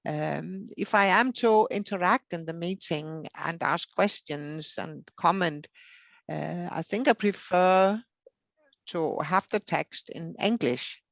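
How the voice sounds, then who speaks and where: Gravelly-voiced woman, native Danish speaker. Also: lowish voice, slow, dry recording, muffled recording. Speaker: federation secretary. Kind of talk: unscripted interview answer.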